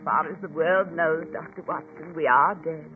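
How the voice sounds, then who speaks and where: An actress playing a guarded, genteel elderly spinster in a 1940s radio drama, speaking formally and with measure. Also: female voice, low voice, fairly even intonation, normal speed, a little hiss or hum, natural voice. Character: guarded elderly spinster, protective older-seeming sister. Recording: tinny old radio broadcast, music behind.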